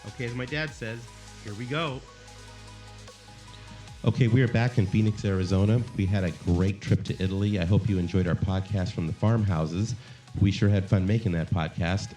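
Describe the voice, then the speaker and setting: A man's voice: on the low side, steady tone, moderate pace, dark tone, faint music behind. A man hosting a podcast interview, upbeat and chatty, speaking into a studio microphone. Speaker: podcast host. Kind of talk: casual interview chat. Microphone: studio microphone with headphones.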